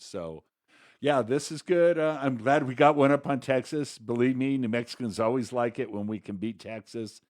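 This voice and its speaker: A man with a slightly low voice co-hosts a political talk show. He speaks casually, with a folksy, wry manner. The sound is dry, with little room in it. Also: darkish tone, no echo. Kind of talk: casual conversational political commentary.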